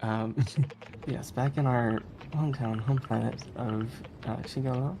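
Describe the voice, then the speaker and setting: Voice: mid-range voice, fairly even intonation, measured pace, creaky, background noise. A speedrunner giving casual live commentary on a game, chatty and giggly, with some hesitation while explaining. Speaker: speedrunner presenting a game run. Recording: game music behind the voice. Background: game music.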